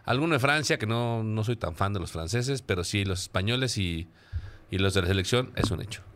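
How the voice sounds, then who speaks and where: A Mexican man with a slightly low but bright, forceful voice. He banters casually as a panel guest, joking with the others. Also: lively intonation, brisk.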